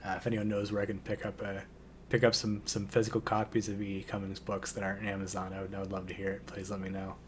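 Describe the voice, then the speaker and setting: A man with a slightly hoarse voice, hosting a relaxed poetry reading and hesitating often with ums and restarts. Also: fairly low pitch, fast, slight background noise. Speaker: poetry channel host. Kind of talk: casual intro and poetry reading.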